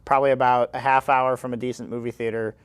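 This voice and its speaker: A man's voice: mid-range voice, level tone, fast, clear, resonant tone. Casual young American man, rambling and wry. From small town in Illinois, United States. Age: 20s. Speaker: interview guest, arts and entertainment editor. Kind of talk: casual conversational interview answers.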